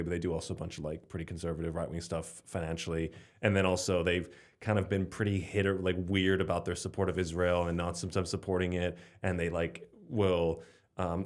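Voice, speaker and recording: A young man from Slovenia chatting casually as a podcast guest, rambling with lots of fillers and restarts. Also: sits low. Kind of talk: casual conversation.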